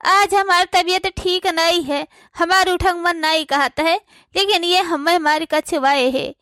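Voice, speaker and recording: A woman's voice: very high voice, fairly even intonation, measured pace, sharp tone, no echo, studio quality, heavily acted. A woman playing a quarrelsome, self-pitying village housewife in a cartoon comedy, complaining and scolding with an Awadhi accent. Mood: ailing, complaining.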